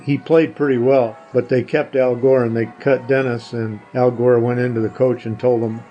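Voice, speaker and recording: An older man reminiscing as an interview guest, plain-spoken and a little halting. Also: medium pitch, flat delivery, highs cut off, faint music behind.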